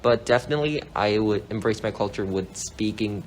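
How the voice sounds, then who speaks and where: Lower-voiced man, native Korean speaker. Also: a little fast, a little hiss or hum, low-quality recording. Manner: steady and direct, with small grammar slips. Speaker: interviewee. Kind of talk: plain interview answers.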